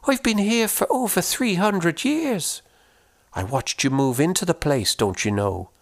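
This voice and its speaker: A man voicing a kindly old-fashioned ghost woman who has lived in her farmhouse for centuries, with a motherly, gently teasing manner. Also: high-pitched, lively intonation, measured pace, voice pushed up, exaggerated voice.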